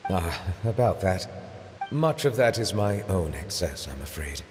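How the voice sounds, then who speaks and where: Stately game judge, low voice, formal and precise, measured, dry humor. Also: male voice, sing-song, over music. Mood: composed. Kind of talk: video game voice acting.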